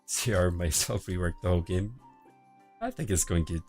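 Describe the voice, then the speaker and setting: A man streaming casual commentary on a mobile game match, quiet and relaxed, answering chat between calls of the play. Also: normal speed. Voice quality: quiet, low-level voice. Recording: home stream audio with faint music behind. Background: faint background music.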